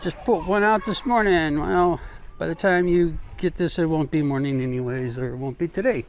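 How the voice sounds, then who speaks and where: A man's voice: on the high side, moderate pace. An American man doing a casual, rambling travel vlog while walking, talking in an informal, folksy way. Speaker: travel vlogger. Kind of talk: casual rambling walk-and-talk chat. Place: outdoors, walking along city streets. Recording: clear outdoor street and traffic noise.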